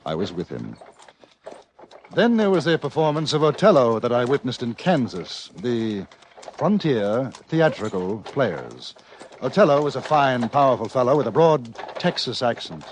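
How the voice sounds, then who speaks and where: Man acting English frontier journalist, medium pitch, varied melody, articulate, wry, scripted radio narration. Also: very animated, moderate pace, lightly acted. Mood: wry, reflective. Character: urbane English newspaper correspondent in the frontier West. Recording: faintly noisy vintage radio recording.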